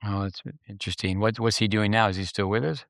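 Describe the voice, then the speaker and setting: A man's voice: fairly low pitch, steady tone, gravelly, a bit bright, no echo, clean studio sound. A man hosting a tennis podcast, joking loosely with his guest and drawing out his questions with fillers. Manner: joking, folksy, drawn-out filler. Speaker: podcast host. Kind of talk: casual interview hosting.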